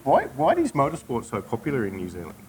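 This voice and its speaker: A man's voice: medium pitch, wildly swooping pitch, fast, a little hiss or hum. A man with an Australian accent hosting casual interviews outdoors at a motorsport event, upbeat and playful. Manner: upbeat, playful, eager to learn. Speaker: host. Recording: loud music over the voice.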